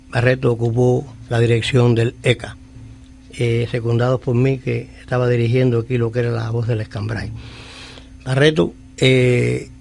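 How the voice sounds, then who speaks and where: An older man with a Cuban accent speaking on a radio panel in a measured, solemn tribute style. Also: mid-range voice, creaky, darkish tone. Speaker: exile veteran, radio panelist.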